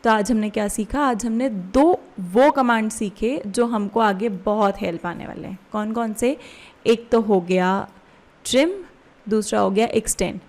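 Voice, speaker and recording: A woman with an Indian accent giving a step-by-step software tutorial as an instructor, explaining casually and often checking in with questions. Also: medium pitch, unhurried.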